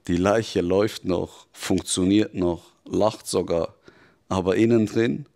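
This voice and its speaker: A man voicing a calm, cynical male devil who confides in a measured, softly menacing way, as a scripted dramatic monologue. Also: low voice, creaky, full character voice. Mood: mocking.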